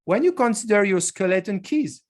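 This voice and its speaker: A man's voice: sits high, expressive, moderate pace. Middle-aged guy lecturing over a webcam, explains step by step, restarts a lot. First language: French. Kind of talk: online lecture.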